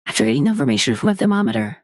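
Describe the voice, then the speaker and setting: A woman giving a dramatic reading of a horror story, measured and storytelling, dropping low and quiet at the tense moments. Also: sing-song, no room sound, clean studio sound. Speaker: narrator.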